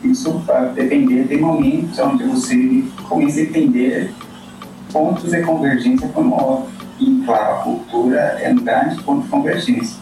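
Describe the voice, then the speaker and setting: A Colombian man talks over a casual podcast, choosing his words slowly. He sits some way from the microphone, and the room rings with heavy echo. Soft music plays underneath. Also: mid-range voice, fairly even intonation, recorded from a distance. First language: Spanish. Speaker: guest.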